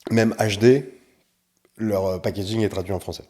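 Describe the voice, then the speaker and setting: A man talking as a podcast guest, animated and explaining his views with examples. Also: lowish voice, moderate pace. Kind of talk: casual podcast chat.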